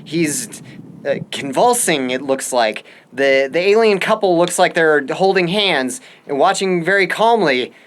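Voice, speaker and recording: An actor plays a stunned military observer in an audio drama, reporting live in a high male voice. He stammers urgently, halting and restarting as he describes what he watches. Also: lively intonation. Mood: tense, stunned. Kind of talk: radio-report style audio drama acting.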